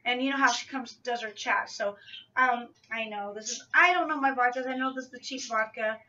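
A woman's voice: on the high side, fairly quick, sharp tone. A middle-aged woman vlogging casually in her home kitchen, talking in a rambling, chatty way and often correcting herself mid-sentence. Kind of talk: casual grocery haul vlog. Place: small home kitchen, indoors. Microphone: camera mic from a few feet away. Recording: distant, dull lo-fi camera audio.

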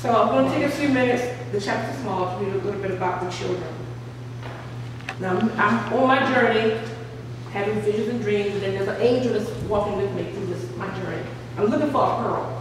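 A woman's voice: mid-range voice, fairly quick. A middle-aged woman author speaking and reading aloud to a small audience in a cafe, emphatic and repeating words for stress. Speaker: author at a book signing. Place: small art cafe, indoors. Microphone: far camera, no mic. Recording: echoey room from far off with noise.

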